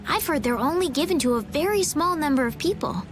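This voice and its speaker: A woman playing a polite, bookish young noblewoman in a video game, speaking in an earnest, gentle and formal way. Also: high-pitched, animated, brisk, over music. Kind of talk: English video game voice acting.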